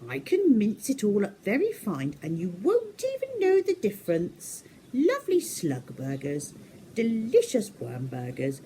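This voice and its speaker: A woman's voice: mid-range voice, huge pitch swings, unhurried, dark tone, slight background noise, voice pushed up. A woman voicing a reassuring storybook mother who coaxes her young sons. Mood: coaxing. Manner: coaxing, reassuring. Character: brisk mother bird-person coaxing her young sons.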